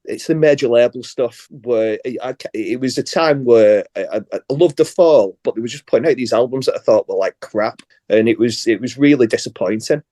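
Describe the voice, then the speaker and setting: A man guest on a podcast panel, speaking candidly in a halting way. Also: medium pitch, mellow, no echo, clean studio sound. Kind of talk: casual podcast chat.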